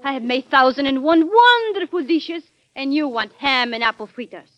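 An actress playing a haughty, temperamental French cook with a French accent and a dramatic, pompous manner in an old-time radio drama. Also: female voice, high-pitched, expressive, moderate pace, a crowd in the background. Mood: offended pride. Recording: dull vintage radio recording.

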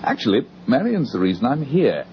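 Male for radio play: affable, well-bred family man, conversational, animated intonation, muffled old-time recording. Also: mid-range voice, a little fast, slight background noise. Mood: genial, chatty. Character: genial English family man, old acquaintance of gallery owner. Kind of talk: radio drama dialogue.

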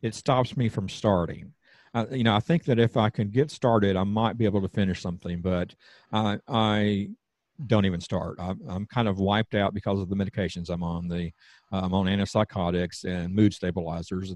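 A man with a Southern accent, a podcast guest speaking in casual conversation with slightly slurred, halting speech. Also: deep voice, fairly even intonation, a little mellow, dry recording.

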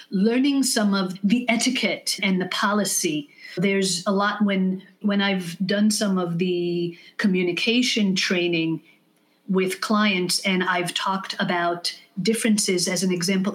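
A woman guest on an interview podcast, a leadership consultant giving measured, explanatory answers with examples. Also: medium pitch. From United States.

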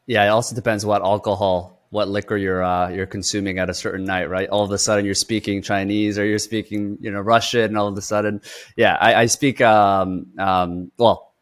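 A man's voice: lowish voice, flat delivery, fairly quick. A young man hosting a podcast interview, asking long rambling questions. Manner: rambling questions with fillers and restarts. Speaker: podcast host.

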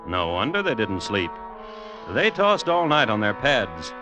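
A man's voice: lowish voice, very animated, measured pace, dull audio. A man narrating an old-time radio drama in a wry, conversational storytelling manner. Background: music.

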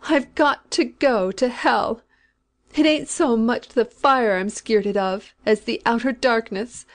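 A woman doing a dying old country woman in a storybook, slow and drawn-out, talking in a rough rustic way. she sounds sinful and scared of the dark, and she gives orders like she is used to being obeyed. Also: a little high. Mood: despairing. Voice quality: rustic dialect, anguished pleading.

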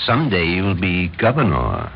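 An actor with an American accent playing a domineering, scheming middle-aged political boss, smooth and insistent, in classic radio drama style. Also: male voice, deep voice, huge pitch swings, normal speed, exaggerated voice. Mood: smooth, coaxing. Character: domineering, scheming older political power broker, the Major. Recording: muffled old radio recording, faint hiss.

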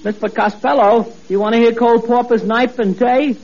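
A man's voice: very high-pitched, fairly even intonation, moderate pace, a crowd in the background. A man voicing a boastful little boy who swaps every T for a P, in a 1940s radio comedy. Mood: eager. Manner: lisping, swaps T sounds for P. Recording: muffled old radio broadcast, studio audience. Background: studio audience laughter.

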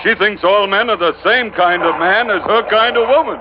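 Tough, wisecracking American sailor, played by a male actor, higher register, old radio drama, muffled tape with faint noise. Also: a little fast, lightly acted. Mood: joking banter. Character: loyal, wisecracking ship's mate, tough rough-edged sailor. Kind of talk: 1940s American radio adventure acting. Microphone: studio radio microphone. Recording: muffled, slightly noisy old radio recording.

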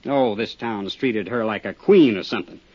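A man's voice: lowish voice, normal speed, darkish tone, husky. A man playing a tough, cagey small-town roadhouse owner with an American accent in a 1950s radio drama, talking in defensive, streetwise slang. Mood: exasperated. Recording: dull 1950s radio recording.

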